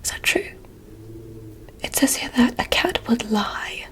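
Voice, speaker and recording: A woman plays a curious alien doctor who speaks in a soft whisper. Her voice sits high whenever it surfaces from the hush. She is courteous and a little formal, explaining each step to her human patient in ASMR roleplay fashion. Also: animated, a little slow. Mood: curious, gently teasing.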